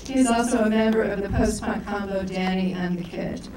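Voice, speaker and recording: Woman emcee, mid-pitched, distant in a very echoey hall, reads bios and trips over words. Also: steady tone, moderate pace, mellow, slight background noise. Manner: reads bios with stumbles and self-corrections. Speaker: festival co-director introducing speakers. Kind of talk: live stage introduction. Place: large echoey event hall.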